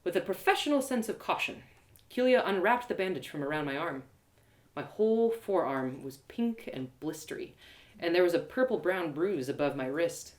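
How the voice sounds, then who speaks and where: A woman giving a theatrical, expressive first-person narration of a fantasy story as a live reading. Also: on the low side, moderate pace, roomy sound. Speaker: storyteller and audio drama performer.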